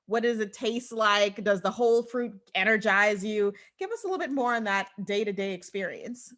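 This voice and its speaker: A woman from the Bahamas hosting a podcast interview, enthusiastic and quick to laugh, over a video call. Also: mid-range voice, animated, a bit bright. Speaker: podcast host. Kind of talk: casual interview chat.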